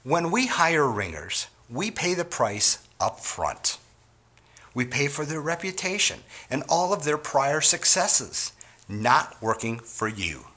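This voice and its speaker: A middle-aged man from Wisconsin giving a scripted sales training talk to store owners in an earnest, measured way. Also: on the high side, sing-song, pushed and bright.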